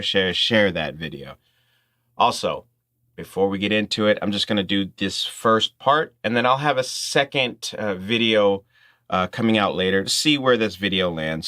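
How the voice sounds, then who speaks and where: A man's voice: lowish voice, sharp tone. A man hosting an informal music tutorial, explaining things step by step in instructional talk.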